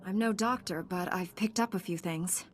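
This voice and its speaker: A woman's voice: lowish voice, moderate pace, soft background music, close to an everyday voice. An actress voicing a composed, earnest young female Jedi knight in scripted video game dialogue. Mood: reassuring, earnest. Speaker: game character.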